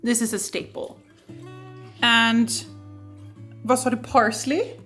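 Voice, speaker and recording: Playful high-voiced woman. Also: fairly even intonation, slow pace. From Sweden. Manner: chatty, playful, laughs easily. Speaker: vlogger. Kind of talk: casual home vlog chat. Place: home kitchen and living room. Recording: echoey room with soft guitar music.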